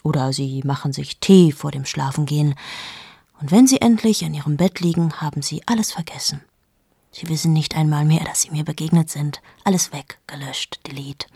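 Cold, husky late-30s journalist. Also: female voice, sits low, moderate pace, warm tone, no room sound, clean studio sound, heavily acted. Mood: brooding. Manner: intimate, addresses the listener, slips into whispers. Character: cold amoral female journalist, 38, confessing. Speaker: radio drama lead. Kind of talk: radio play monologue.

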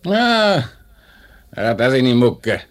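An actor playing a hard, impatient factory manager with a curt manner and a Swiss German accent, in a 1950s radio play. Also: male voice, medium pitch, big pitch swings, takes it slowly. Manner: curt, brusque.

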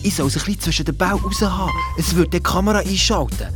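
Excitable, boastful boy sleuth, Swiss German accent, breezy and blunt, children's radio play with clear music bed. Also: sits high, fast. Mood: eager storytelling. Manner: breezy colloquial storytelling, blunt and bickering. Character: excitable, boastful young boy detective telling his adventure. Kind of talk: children's audio drama narration.

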